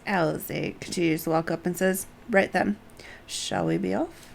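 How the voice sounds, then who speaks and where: A woman's voice: on the low side, normal speed, gravelly, a little hiss or hum. A woman game streamer commenting live and reading dialogue aloud.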